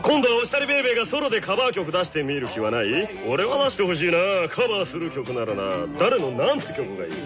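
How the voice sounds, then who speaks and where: A man's voice: high-pitched, huge pitch swings, fast, few pauses. A man playing a flamboyant, theatrical DJ who hosts an anime radio show with showy teasing. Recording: loud music behind the voice.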